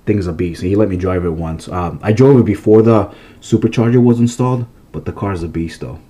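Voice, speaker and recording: A man with a blocked, stuffy nose talks through his toy car collection in a loose, rambling vlog. His voice sits low and mellow, and he keeps restarting his sentences. He sounds casual and chatty, recorded on a camera mic at home. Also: a little fast. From Massachusetts, USA.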